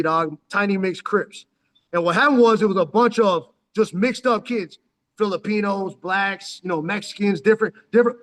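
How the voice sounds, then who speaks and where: A man's voice: high voice, fairly bright tone, big-room sound. A man in his forties, born in Texas and raised in San Diego, telling his story as a guest in a loose, rambling way, full of street slang and "dog.". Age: 40s. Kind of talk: casual storytelling interview.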